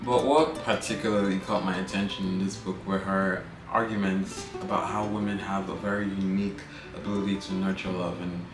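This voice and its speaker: A man's voice: deep voice, little pitch movement, a little fast, few pauses. A man in his twenties from Nigeria, talking thoughtfully in a personal video monologue and often checking in with "right?". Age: 20s. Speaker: vlogger. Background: guitar music.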